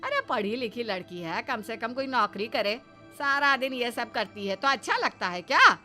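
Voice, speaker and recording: Bright, high-voiced scolding mother-in-law. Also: expressive, normal speed, faint music behind. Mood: disapproving. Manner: exasperated, emphatic. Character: scolding, sceptical older mother-in-law, sarcastic and worried. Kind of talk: Hindi moral-story cartoon dubbing.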